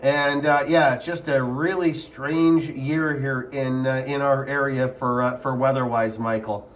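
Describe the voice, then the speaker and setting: A man's voice: mid-range voice, steady tone, a little slow. A man chatting casually as an amateur radio operator, repeating himself and spelling call signs phonetically. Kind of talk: casual ham radio contact.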